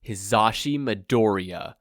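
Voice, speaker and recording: A performer voicing a teasing male ghost who pauses for dramatic effect. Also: mid-range voice, no room sound, studio quality, close to an everyday voice. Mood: teasing, mysterious.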